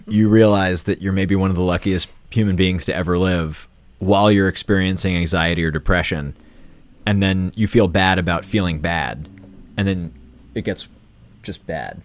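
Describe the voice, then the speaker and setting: Low-pitched man chatting casually. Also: steady tone, moderate pace, dry recording. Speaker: host of a self-care live stream. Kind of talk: casual unscripted chat.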